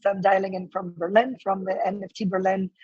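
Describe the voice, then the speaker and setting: Hoarse woman with a fading voice. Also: medium pitch, little pitch movement, a little fast, no room sound, highs cut off. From Ukraine. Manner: talkative, many fillers and restarts. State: losing her voice. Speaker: guest, startup co-founder. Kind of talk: casual interview answers.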